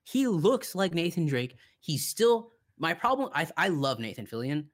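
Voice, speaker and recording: A man's voice: fairly high pitch, big pitch swings, normal speed. Casual, enthusiastic man podcast co-host. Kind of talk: casual film chat.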